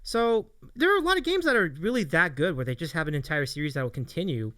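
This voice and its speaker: A man hosts a casual streaming interview with a lively, swooping delivery and a slightly high voice. His tone is clean and smooth, and he speaks in an appreciative, encouraging manner. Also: fast, no room sound, studio quality. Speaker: host of a streaming interview show. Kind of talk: casual livestream interview chat.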